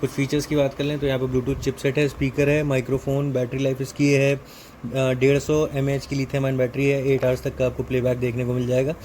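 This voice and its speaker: Indian man, even-toned, noisy recording. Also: medium pitch, brisk. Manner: explanatory, mixes in English technical terms. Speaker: product reviewer. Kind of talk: casual unboxing and product walkthrough.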